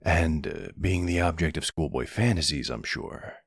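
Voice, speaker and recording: Man playing a gravelly, very low-voiced mafia boss. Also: no echo, clean studio sound, heavily acted. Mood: teasing, playful.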